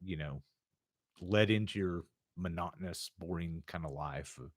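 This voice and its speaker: A man with a low, deep-set voice co-hosts a relaxed livestream conversation. He rambles along with plenty of fillers and a laid-back ease. The sound is dry and close, with no room around it. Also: normal speed, no room sound. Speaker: podcast co-host. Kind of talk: casual livestream chat.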